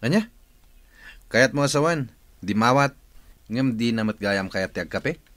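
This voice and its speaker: A man's voice: medium pitch, lively intonation, a little slow, fairly bright tone. A man playing a blunt, emphatic elder brother in a family radio drama. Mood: questioning.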